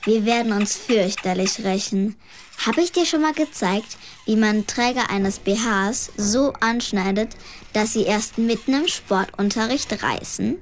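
A woman's voice: medium pitch, measured pace, slight background noise, lightly acted. A woman playing a clever, scheming schoolgirl who narrates a children's radio play in a wry, know-it-all, matter-of-fact way. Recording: music behind the voice.

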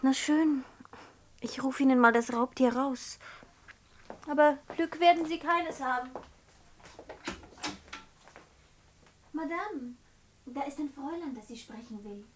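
A woman's voice: high voice, unhurried, slight background noise. An actress playing a pert, world-weary saleswoman in a 1960s radio play, blunt and chatty under her shop manners. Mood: resigned. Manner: polite to customers, blunt and chatty otherwise.

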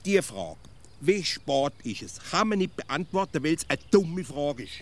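A cunning, doubting Swiss man from the provinces in a radio comedy. His Swiss German-accented voice sits rather high and moves animatedly, as he doggedly contradicts and stumbles into repetitions. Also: sing-song, a little slow, slight background noise. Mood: dogged reasoning. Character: sceptical, literal-minded provincial Swiss male friend, cunning fool. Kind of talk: comic radio dialogue.

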